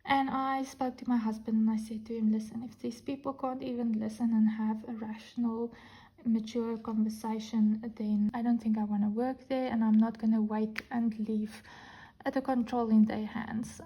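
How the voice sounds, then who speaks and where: 沙哑低沉的南非口音女声. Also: female voice, on the high side, flat delivery, normal speed, warm tone, slightly rough, dull audio. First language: Afrikaans. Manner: chatty, candid storytelling. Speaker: diamond painting vlogger. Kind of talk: casual chat while crafting. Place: indoors at a craft table. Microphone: camera over the hands, speaker off-frame.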